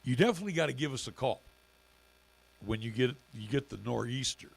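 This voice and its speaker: A middle-aged man co-hosting a local radio show in casual chat, with a raspy, gravelly voice and halting speech full of fillers. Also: medium pitch, lively intonation, poor audio. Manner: halting, many fillers and restarts. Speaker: radio station owner and co-host.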